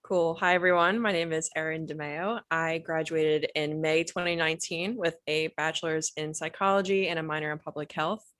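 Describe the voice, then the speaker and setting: A woman in her twenties, a grad student, with a notably low voice for a woman. She speaks briskly and friendly over a video call with a dry sound. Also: steady tone, a bit bright, no room sound. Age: 20s. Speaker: graduate student. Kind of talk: video call panel introduction.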